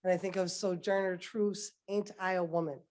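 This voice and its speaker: A woman's voice: fairly low pitch, steady tone, moderate pace, a little mellow. Woman poet at a podium, rhythmic spoken word delivery with a building refrain. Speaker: poet reading at a dedication ceremony. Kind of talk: spoken word poetry performance. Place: ceremony podium.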